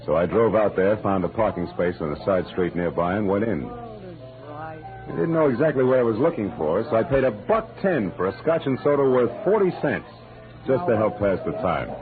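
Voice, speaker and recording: An American actor playing a wry, hard-boiled private detective in a 1940s radio drama, narrating and talking in a clipped, deadpan, world-weary way. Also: male voice, on the low side, close to an everyday voice. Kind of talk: 1940s radio drama acting and first-person noir narration. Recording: muffled vintage 1940s radio recording with music.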